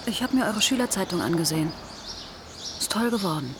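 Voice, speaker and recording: A woman's voice: fairly low pitch, lively intonation, fairly quick, background noise, natural voice. A woman playing a cool, probing amateur sleuth, terse and inquisitive, in a radio crime drama. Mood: gentle probing.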